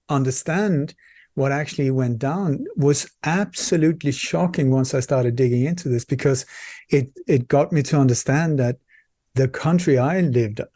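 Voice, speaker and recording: Mellow-voiced man from Sweden telling a story. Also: medium pitch, dry recording, studio quality. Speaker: interview guest, researcher and author. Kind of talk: conversational storytelling in an interview.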